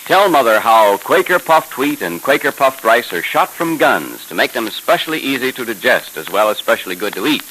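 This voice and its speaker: An American man announcing and pitching a sponsor in 1930s radio style, enthusiastic and emphatic like a salesman. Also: big pitch swings, moderate pace, few pauses. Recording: hissy 1930s radio recording.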